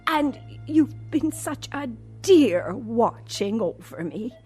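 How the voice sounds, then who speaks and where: An actress playing a genteel, condescending old woman recovering from a stroke in an audio drama, with breathy, halting, deliberate speech. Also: on the high side, very animated, warm tone, soft background music. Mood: sweetly insistent.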